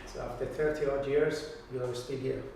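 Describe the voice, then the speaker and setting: A man's voice: medium pitch, fairly even intonation, fairly quick, darkish tone. A man in his fifties with an Iranian accent and Persian as his first language, recalling things in a reflective, conversational way as an interviewee. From Iran. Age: 50s. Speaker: interviewee in a documentary. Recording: distant mic, very echoey room, clear noise.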